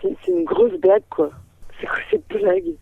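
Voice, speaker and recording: A woman's voice: high voice, sing-song, moderate pace, gravelly. A young woman taking a phone call over a noisy line, answering casually in short replies. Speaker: prank call target. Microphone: telephone.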